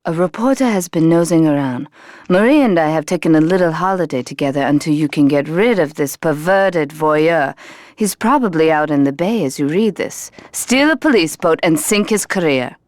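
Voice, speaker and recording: Icy woman mob boss, low voice, dry mic, terse orders in a game cutscene. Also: expressive, normal speed, a little mellow, no echo. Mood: cold. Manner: cool, terse, dryly mocking. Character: cold, ruthless female crime boss.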